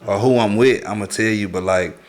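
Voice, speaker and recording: A man's voice: lowish voice, a little fast. A man on a talk show panel chatting casually in slang, frank and opinionated, often checking that he is understood.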